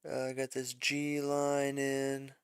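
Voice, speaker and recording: Man, arranging teacher, slow and unhurried, gravelly low voice, thinks aloud with fillers, dry close mic. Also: completely flat delivery, no room sound. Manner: thinks aloud, many fillers and self-corrections. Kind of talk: casual screen-share music lesson.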